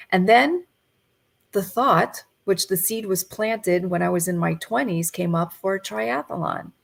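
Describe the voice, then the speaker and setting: A woman in her fifties, a coach, giving a gentle, reflective motivational talk over a video call. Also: mid-range voice, creaky, breathy edge. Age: 50s.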